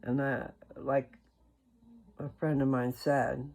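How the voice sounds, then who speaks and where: A husky voice with plenty of gravelly creak, set at a medium pitch. The speaker hosts an informal religious talk, earnest and folksy. The delivery rambles, drifting into asides and returning to the message. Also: little pitch movement, slow, darkish tone, low-quality recording. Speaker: religious video host.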